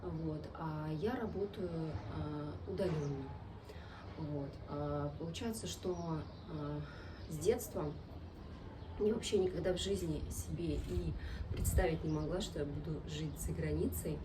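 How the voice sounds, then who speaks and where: A woman in her thirties chatting casually to camera as a vlogger introducing herself, often pausing mid-sentence. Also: deep voice. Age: 30s. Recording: clearly noisy amateur vlog sound.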